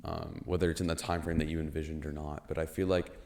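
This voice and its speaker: A young man hosting a podcast, musing in casual chat with halting restarts and frequent "you know". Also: a bass voice, flat delivery, vocal fry, darkish tone. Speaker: podcast host.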